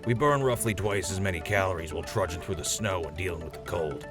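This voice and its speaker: A man plays a proud male army cook in an audio drama interview. His voice is deep, his delivery earnest and matter-of-fact, over a music bed. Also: fast, lightly acted. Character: proud, plain-spoken army cook sergeant.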